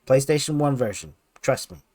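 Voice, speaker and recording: Gushing, foul-mouthed man with lively pitch. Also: moderate pace, dry recording. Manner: gushing, heavily profane; slangy, emphatic. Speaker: video game commentator. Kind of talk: casual excited countdown commentary.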